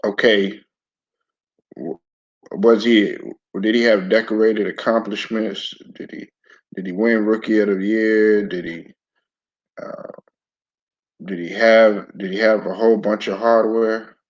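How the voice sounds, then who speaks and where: A man with a low, raspy voice, hoarse from a throat bug, talks slowly and loosely to his viewers about sports. He keeps stopping, restarting and clearing his throat. The sound is dull and lo-fi, like a cheap home setup. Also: fairly even intonation. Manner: laid-back, stop-start, repeats words. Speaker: sports commentary channel host. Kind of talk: casual live-stream talk to viewers.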